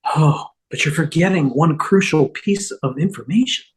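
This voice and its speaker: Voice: medium pitch, moderate pace, natural voice, rough recording. An amateur actor playing a nervous, stammering schemer in a TV comedy table read, improvising cover stories. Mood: nervous bluffing.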